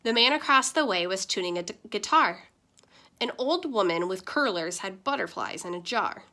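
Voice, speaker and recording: A woman teacher reading a children's picture book aloud with expressive, lively storytelling. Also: medium pitch, normal speed.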